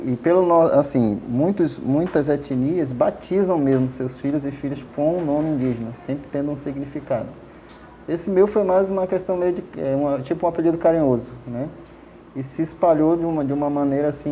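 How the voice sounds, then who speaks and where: A man's voice: fairly high pitch, normal speed, dark tone, a little hiss or hum. Hesitant man. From Amazonas, Brazil. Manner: hesitant, many fillers and restarts, frequent tag questions. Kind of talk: casual explanatory interview answers.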